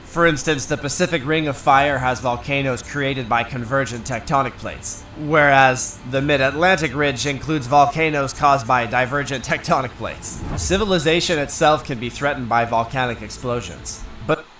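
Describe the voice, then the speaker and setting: Guy narrating a science video, mid-pitched, steady read, faint music under it. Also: moderate pace, hardly any pauses. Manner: steady informational reading. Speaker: documentary narrator. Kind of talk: scripted narration.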